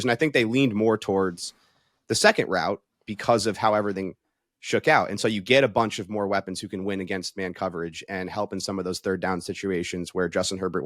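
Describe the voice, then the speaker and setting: A man with a fairly low voice in a casual football discussion. He lays out his reasoning step by step like a reporter on a panel, and the sound is dry and close. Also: fairly bright tone, dry recording. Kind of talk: casual sports analysis discussion.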